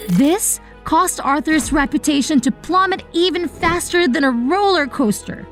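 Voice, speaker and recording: A woman voicing an earnest, optimistic young heroine who narrates her own animated story in an expressive, dramatic way. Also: sits high, measured pace. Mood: earnest storytelling. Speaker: narrator and lead character. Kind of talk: animated first-person story narration with acted dialogue. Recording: voice over background music. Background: music.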